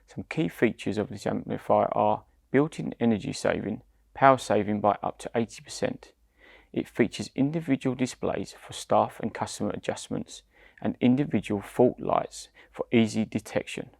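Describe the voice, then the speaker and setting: A British man, around his forties, giving scripted step-by-step product instructions in a measured, deliberate way. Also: on the low side, vocal fry, darkish tone, dry recording, clean studio sound. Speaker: product demonstrator.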